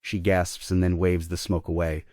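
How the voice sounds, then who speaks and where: Low-voiced man reading stage directions. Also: level tone, normal speed, a little mellow, no room sound, clean studio sound. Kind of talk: scripted narration at a table read.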